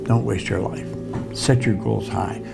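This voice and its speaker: A man's voice: fairly low pitch, steady tone, a little slow, gravelly. A man giving a motivational speech in short, emphatic, commanding sentences. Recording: music bed behind speech. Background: music.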